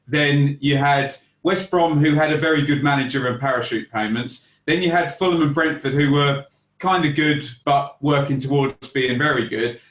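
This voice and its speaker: A man's voice: mid-range voice, fairly bright tone. A male football content creator, a guest in a casual chat, explaining things fluently with vivid comparisons. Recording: echoey room.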